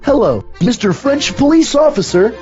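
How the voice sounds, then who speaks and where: A performer voicing an earnest, excitable young male puppet in a comic puppet show, with a dramatic manner. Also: medium pitch, moderate pace, muffled recording, faint music behind, natural voice. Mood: earnest, exasperated.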